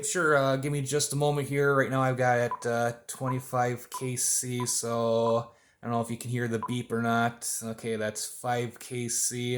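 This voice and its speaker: A man's voice: medium pitch, roomy sound. A man chatting casually and at some length as an amateur radio operator on a contact. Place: indoors at a home radio desk.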